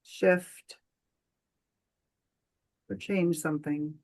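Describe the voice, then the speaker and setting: Meditation guide: woman, lowish voice, very slow delivery, gentle and soothing, generous pauses. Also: fairly even intonation.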